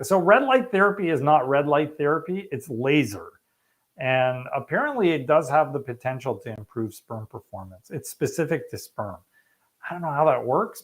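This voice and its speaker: A man's voice: a little high, fairly bright tone. A middle-aged man, a fertility specialist hosting a live question-and-answer stream, talking bluntly and casually and laughing easily. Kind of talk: live Q&A chat.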